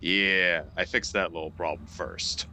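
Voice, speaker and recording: A man's voice: deep voice, steady tone, measured pace, bright, forceful tone, a little hiss or hum, full character voice. A man playing a blunt, confident mechanic in an amateur audio drama, terse and matter-of-fact.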